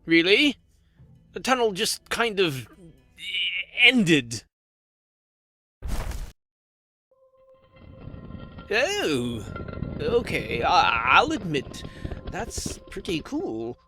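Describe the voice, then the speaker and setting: A man voicing a gruff, hot-tempered male dragon in an animated fantasy, blunt and halting. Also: sits high, faint music behind, heavily acted. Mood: grudging. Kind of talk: animated fantasy drama acting.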